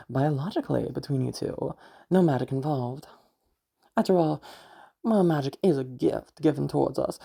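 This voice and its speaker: A woman voicing a cruel, pious, domineering mother in an amateur audio roleplay, condescending and taunting, with drawn-out pauses and hums. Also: sits low, creaky, mellow, husky, exaggerated voice. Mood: condescending contempt.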